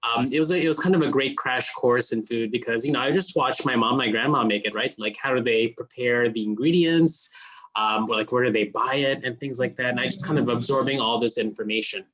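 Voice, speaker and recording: A man from the Philippines, a guest telling his story in a relaxed, casual way with lots of fillers. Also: medium pitch, brisk, rough recording. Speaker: guest, food brand founder. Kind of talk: casual interview talk.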